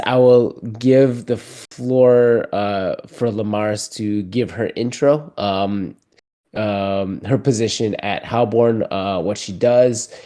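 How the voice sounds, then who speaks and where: A man hosting a community call in a casual, loose way, with lots of fillers and restarts. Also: on the low side. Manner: relaxed, many fillers and restarts. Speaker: community host.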